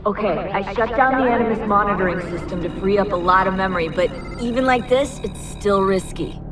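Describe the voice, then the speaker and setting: An actress playing a skeptical, worried technician in a video game, matter-of-fact and cautionary. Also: female voice, mid-range voice, brisk, recorded from a distance, music in the background, close to an everyday voice. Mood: concerned. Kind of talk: video game cinematic dialogue.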